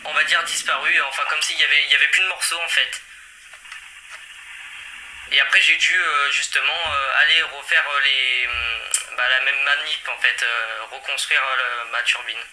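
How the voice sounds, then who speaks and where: A man's voice: mid-range voice, fairly even intonation, normal speed, bright, forceful tone. A man rambling hesitantly through a casual, confused complaint on a phone call, in a noisy recording. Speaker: prank caller.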